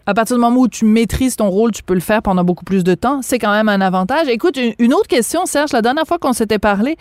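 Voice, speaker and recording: A woman's voice: medium pitch, darkish tone, dry recording, studio quality. A woman, hosting a conversational radio interview. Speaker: radio host.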